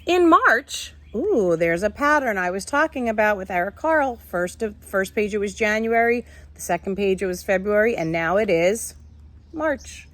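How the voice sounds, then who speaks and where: A woman's voice: mid-range voice, lively intonation, normal speed, fairly bright tone, no room sound. A woman teacher reading a picture book aloud to young children, instructing and explaining simply as she goes. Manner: instructive, addresses young listeners with simple questions.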